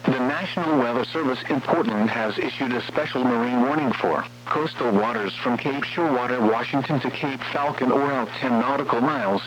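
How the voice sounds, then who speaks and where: A synthetic male text-to-speech voice with an American accent, reading formal weather warning bulletins in a measured, impersonal way over a radio broadcast. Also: medium pitch, a bit bright. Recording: muffled lo-fi radio with faint hum.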